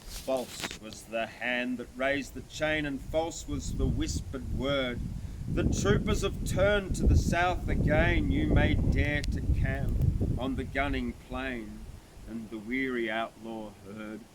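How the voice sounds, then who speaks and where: A man reciting a bush ballad in a theatrical, measured way with emphatic phrasing. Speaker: poetry reader. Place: outdoors at a cave site. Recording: very noisy, clicks, vehicle-like rumble.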